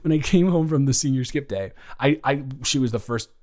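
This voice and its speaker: A man acts out a young guy in love with a best friend, in an improvised romantic audio roleplay. His voice sits in an average male range. He speaks in a nervous, halting ramble, casual and playful, often doubling back on his own sentences. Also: medium pitch, darkish tone, natural voice. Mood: nervous, teasing. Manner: halting, rambling, self-interrupting, chuckling.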